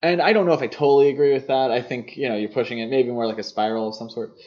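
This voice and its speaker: A man's voice: mid-range voice, steady tone, racing, darkish tone. A man co-hosting a podcast, discussing film casually in an opinionated, conversational way. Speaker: podcast co-host. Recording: dull, muffled lo-fi podcast audio.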